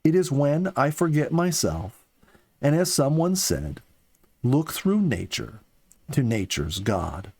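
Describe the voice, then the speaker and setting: A man reading the part of an earnest, philosophical young soldier in an audiobook, with slow, deliberate phrasing. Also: medium pitch, big pitch swings, creaky, a little mellow, pitched above the performer's own voice, full character voice. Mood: yearning, reflective. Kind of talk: audiobook character dialogue.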